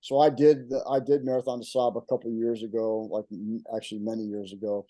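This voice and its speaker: A man's voice: on the low side, flat delivery, fast talker, dark tone, no echo. A man in his sixties from Texas, telling running stories in a rambling, stop-start way. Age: 60s. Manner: rambling, stop-start storytelling with frequent restarts. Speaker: guest ultrarunner. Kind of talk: casual chat.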